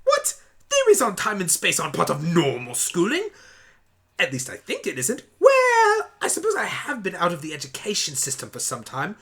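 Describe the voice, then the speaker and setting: Guy doing a fussy genius pony, swoopy excitable delivery, wordy, sharp bright voice. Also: sits high, moderate pace, slightly rough, pitched above the performer's own voice, exaggerated voice. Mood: excited, indignant. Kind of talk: amateur audio drama acting.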